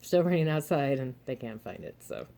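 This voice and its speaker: A young adult woman, a little hoarse and throaty, chatting frankly to her viewers with advice, laughing often and cursing mildly. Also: a bass voice, sing-song, normal speed, mellow. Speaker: vlogger, single mother and reseller. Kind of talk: casual advice monologue to camera.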